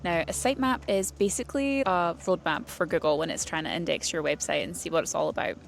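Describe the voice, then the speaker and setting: Woman giving a tutorial, slightly creaky voice. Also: fairly low pitch, expressive, fast talker, soft background music. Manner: plain-spoken, explanatory. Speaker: presenter of a website tutorial series for writers. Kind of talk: informal instructional explainer.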